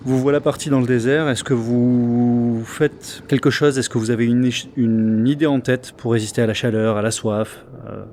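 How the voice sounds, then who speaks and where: A man narrating as game master of a tabletop game, halting with many fillers and restarts. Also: medium pitch, steady tone, a little mellow, a little hiss or hum.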